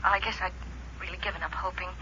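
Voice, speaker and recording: An actress playing a grieving, fragile widow in 1950s radio style, hushed, halting and polite through a telephone filter. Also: female voice, on the low side, fairly even intonation. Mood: grieving, subdued. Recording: thin, hissy 1950s radio phone line.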